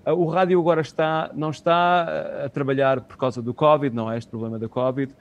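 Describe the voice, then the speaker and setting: A middle-aged man with a European Portuguese accent, answering interview questions in a halting, conversational way over a video call. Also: mid-range voice, fairly even intonation, highs cut off. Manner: halting, searching for words, repeating phrases. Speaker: interview guest, community radio co-founder. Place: small room at home, on a video call. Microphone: earphone headset on video call.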